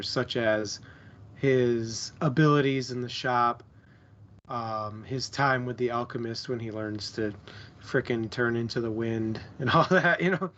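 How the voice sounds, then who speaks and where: Male co-host for casual book talk: deliberate, very slow delivery, informal slang, slightly dull audio. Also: medium pitch, a bit bright, slight background noise. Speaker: book club stream co-host.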